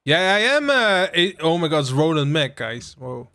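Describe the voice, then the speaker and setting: A young man from the Netherlands hosting a relaxed game livestream, rambling with lots of fillers and asides to his chat. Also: on the high side, moderate pace. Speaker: livestream host. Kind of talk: casual live-stream chat while playing a game.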